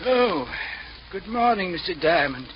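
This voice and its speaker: An actor playing a prim, fussy, deferential butler with formal manners in a 1940s radio drama. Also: male voice, high voice, very animated, measured pace. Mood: fussy, polite. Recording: muffled noisy old radio recording.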